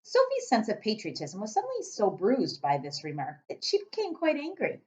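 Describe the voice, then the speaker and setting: Female teacher narrator for a children's story: very animated, medium-pitched, slightly muffled lo-fi recording. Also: normal speed. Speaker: teacher reading aloud to pupils.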